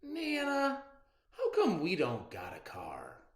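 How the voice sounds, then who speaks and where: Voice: medium pitch, wildly swooping pitch, slow, roomy sound, pitched above the performer's own voice. A storybook reader voicing a young grandson who asks childlike, complaining questions. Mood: whiny curiosity.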